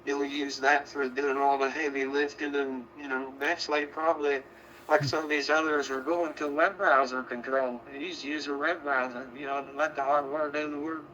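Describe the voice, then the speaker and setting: Male ham operator, sharp bright tone, halting casual tech chat, muffled lo-fi radio audio. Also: on the high side, level tone. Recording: dull, noisy digital radio transmission.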